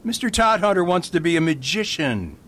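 Man playing an animated, lightish-voiced priest detective. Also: fairly quick. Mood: matter-of-fact. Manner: animated, patiently explanatory. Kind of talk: radio mystery acting. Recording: faint rumbling background noise.

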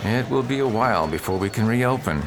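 An actor playing an upright, earnest preacher who pleads and reasons with others in a radio drama. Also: male voice, medium pitch, expressive, brisk, a crowd in the background, natural voice. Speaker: reverend at a mission.

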